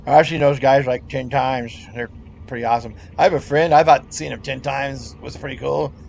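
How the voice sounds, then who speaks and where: A man's voice: mid-range voice, fairly even intonation, moderate pace, gravelly, a little hiss or hum. A middle-aged man chatting casually over game voice chat, rambling, laughing often and swearing freely. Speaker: gamer in voice chat.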